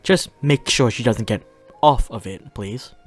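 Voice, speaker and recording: A woman's voice: extremely low pitch, measured pace, breathy edge, heavily acted. Woman as sweetly cruel demon mistress, mocking, polite, haughty, faint music behind, podcast drama. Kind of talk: fiction podcast audio drama acting.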